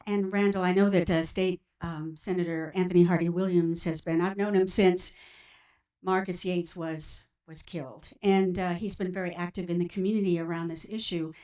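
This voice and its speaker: An American woman moderating a panel discussion in a measured, encouraging way. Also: fairly low pitch, steady tone, a little mellow. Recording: somewhat distant from the mic.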